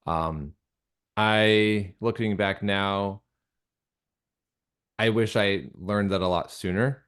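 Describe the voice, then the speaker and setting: A man speaking casually and reflectively as an interview guest, earnest and halting, often interrupting himself mid-thought. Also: fairly low pitch, fairly even intonation, fairly bright tone, clear, resonant tone. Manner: earnest, halting, conversational with frequent self-interruptions. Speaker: guest, audio drama creator and director.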